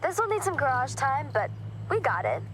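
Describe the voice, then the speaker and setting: A woman's voice: sits high, very animated, moderate pace. An actress playing a friendly, sincere young woman who is a car enthusiast in a video game. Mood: excited, friendly. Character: May, young enthusiastic, warm-hearted woman car hunter. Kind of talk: video game cutscene voice acting. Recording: engine and ambient noise behind. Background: vehicle sounds and ambience.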